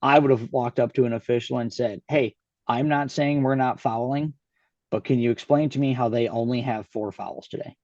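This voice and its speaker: A man from Michigan, a guest basketball coach, explaining his approach calmly and agreeably. His mid-pitched voice comes through slightly muffled and dull. The sound is dry, with no room echo. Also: normal speed. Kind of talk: casual podcast chat.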